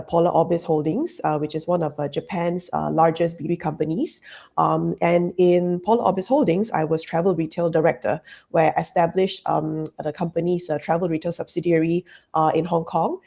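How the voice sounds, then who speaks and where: Gravelly woman, hesitant. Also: lowish voice, steady tone, moderate pace, warm tone. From Malaysia. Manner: hesitant, full of "um" and "you know, like" fillers. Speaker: business consultant, guest. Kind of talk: interview answers.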